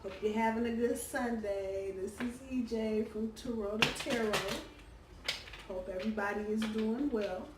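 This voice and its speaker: Hoarse woman reading tarot, distant mic. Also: on the high side, steady tone, measured pace. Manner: chatty, warm-hearted, lots of ums and uhs. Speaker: tarot reader. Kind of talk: casual weekly tarot reading. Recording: roomy, distant from the mic, faint background hum.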